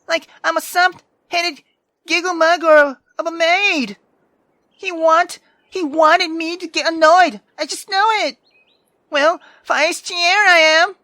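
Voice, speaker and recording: A man's voice: extremely high pitch, steady tone, slow pace, sharp tone, dry recording, full character voice. A man voicing a feisty, indignant young woman from a visual novel, sarcastic and dramatic. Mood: annoyed. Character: feisty, sharp-tongued young woman venting her grievances. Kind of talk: visual novel character reading.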